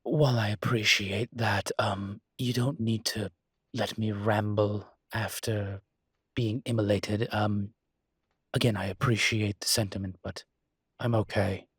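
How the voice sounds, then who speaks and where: A man's voice: on the low side, steady tone, a little slow, breathy edge, no room sound, studio quality. A man playing a refined incubus demon professor in an intimate audio roleplay, explaining things in a hesitant, genteel and slightly irritated but patient manner. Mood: weary, irritated.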